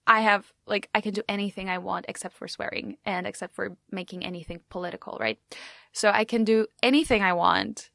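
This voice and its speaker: A woman's voice: medium pitch, fairly even intonation, a little fast, creaky, a bit bright, no echo, clean studio sound. A young woman, telling her story in a chatty way with lots of "like" and restarts. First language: Polish. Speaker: radio show host. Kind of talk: personal storytelling vlog.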